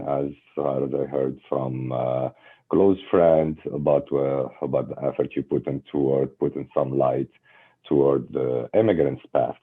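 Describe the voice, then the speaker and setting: A man's voice: very deep voice, breathy edge. A man in his forties from Tunisia, a podcast guest talking casually with many hesitant fillers, heard over a phone line. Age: 40s. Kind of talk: casual interview chat.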